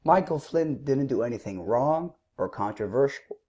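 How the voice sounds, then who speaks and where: A man with a sore throat giving combative, scornful political commentary, clearing his throat now and then. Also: mid-range voice, animated, normal speed, warm tone. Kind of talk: opinionated commentary.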